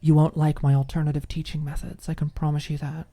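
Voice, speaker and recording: A woman's voice: deep voice, flat delivery, fast talker, mellow. A woman voicing a cocky, confrontational college woman in an audiobook, taunting, smug and sarcastic. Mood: challenging.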